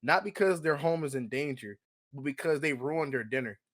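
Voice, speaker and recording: A young American man co-hosting a podcast in casual, slangy group chat, telling things in an animated storytelling way. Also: on the high side, normal speed. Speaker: podcast co-host.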